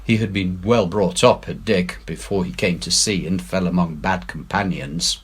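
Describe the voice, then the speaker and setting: A man giving a dramatic, measured, expressive reading of an adventure novel. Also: low-pitched, breathy edge. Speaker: story reader, head teacher.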